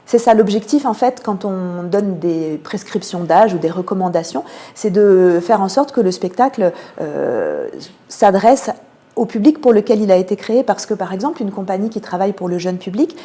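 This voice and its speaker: A woman with a French accent from France giving measured, explanatory answers as a radio interview guest, pausing often to find her words. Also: medium pitch, lively intonation, dark tone. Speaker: theatre programme manager, interview guest.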